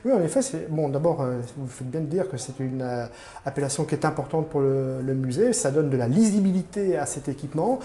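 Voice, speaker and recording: Man, fairly high mellow voice, formal interview answers, halting measured phrasing, echoing room. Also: a little hiss or hum. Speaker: museum curator being interviewed. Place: indoor room in a museum. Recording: roomy, echoing indoor sound.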